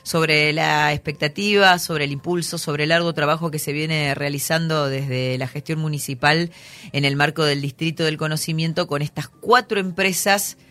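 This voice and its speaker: A man's voice: a little high, fairly even intonation, moderate pace, sharp tone. A man hosting an interview, giving short agreeing replies and wrapping up. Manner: brief agreeing backchannel. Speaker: radio interviewer. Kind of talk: interview, host wrap-up.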